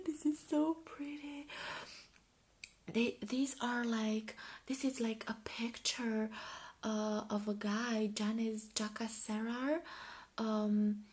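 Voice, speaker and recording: A woman from Romania with a Romanian accent, speaking softly in an ASMR style and often slipping into a whisper, musing and repeating short phrases. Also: on the high side, drawn-out words. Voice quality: soft, often breaking into whispers. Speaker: ASMR video creator reviewing a magazine. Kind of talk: soft-spoken ASMR.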